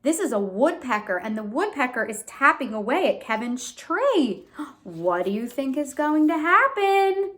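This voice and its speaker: Female read-aloud teacher, high-ish lively voice, expressive storytelling with simple asides for young children. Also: a little slow. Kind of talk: storybook reading with explanations and questions.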